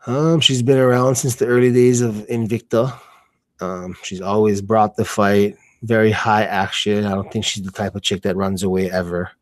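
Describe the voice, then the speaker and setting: A man with a fairly low voice talks through fights as a commentator in a very dry recording. His speech is slangy and informal, and he halts as he thinks aloud in loose, chatty live commentary. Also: level tone, moderate pace, no echo. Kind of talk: casual live commentary chat.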